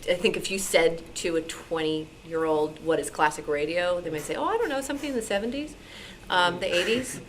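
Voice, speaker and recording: A woman's voice: on the low side, a little fast, a little hiss or hum, low-quality recording. A woman on an informal discussion panel, thinking aloud and feeling her way hesitantly through her answer. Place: club meeting room.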